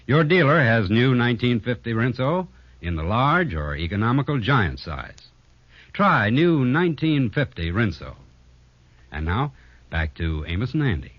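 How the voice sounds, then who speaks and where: A man's voice: mid-range voice, sing-song, normal speed, no echo. A man reading a scripted 1950s radio commercial as announcer, with emphatic, polished salesmanship. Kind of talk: 1950s scripted advertising read. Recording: muffled vintage radio broadcast.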